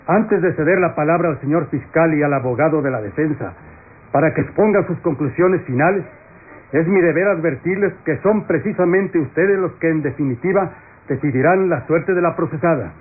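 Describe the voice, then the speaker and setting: An actor playing a solemn, impartial presiding judge, formal and authoritative in radio soap style. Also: male voice, fairly high pitch, moderate pace, lightly acted. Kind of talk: classic Latin American radio soap acting. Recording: tinny old radio recording.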